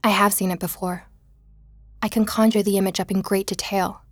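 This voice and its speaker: A reflective investigator played by a woman tells her story in first person. Her voice is medium in pitch with measured audio-drama delivery, in a dry, close recording. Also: little pitch movement, no room sound, lightly acted. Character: female investigator narrating her obsessive research, reflective. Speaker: actor playing narrator.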